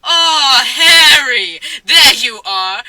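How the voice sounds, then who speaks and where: A woman voicing a pompous, haughty teenage boy wizard with theatrical, drawn-out delivery in a comic story reading. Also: high voice, lively intonation, pushed and bright, no room sound, voice pushed up, heavily acted, rough recording. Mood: grandly pleased.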